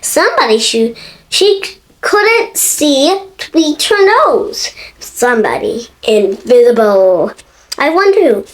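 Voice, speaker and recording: A woman's voice: high-pitched, very animated, slow pace. A six-year-old girl reading a picture book aloud expressively, stumbling and correcting herself now and then. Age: child, six years old. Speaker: young storybook reader.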